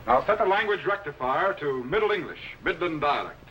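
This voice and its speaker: 50s tv space captain man acting, highish voice, clipped and bossy, noisy old soundtrack. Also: animated, fairly quick, lo-fi sound, natural voice. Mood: instructive, assured. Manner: clipped, authoritative, precise orders. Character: commanding, learned male captain of a time laboratory. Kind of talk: 1950s TV science fiction acting. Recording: noisy 1950s film soundtrack.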